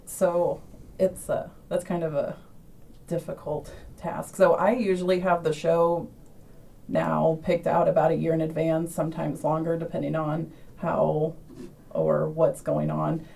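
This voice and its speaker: Low, gravelly woman heard from afar. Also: level tone, warm tone, far from the mic. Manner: measured, hesitant with ums. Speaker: musical director. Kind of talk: thoughtful interview answer. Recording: away from the mic.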